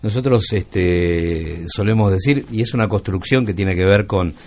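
A man with a Buenos Aires Argentine accent hosting a conversational radio interview, asking long, reflective questions with pauses and repeated words. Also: low voice, a little mellow. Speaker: radio show host interviewing musicians.

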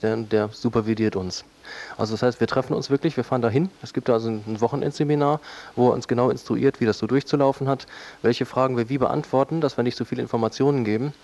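A man's voice: mid-range voice, darkish tone. A man being interviewed, explaining his procedures as a test supervisor in spontaneous speech.

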